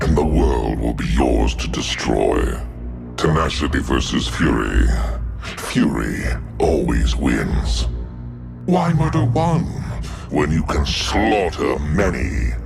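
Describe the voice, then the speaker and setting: An actor voicing a bloodthirsty, sentient male scythe that taunts its foes in a gravelly, menacing voice for a game. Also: rumbling low, heavily acted. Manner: gloating taunts. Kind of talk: game voice lines. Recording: game audio with music.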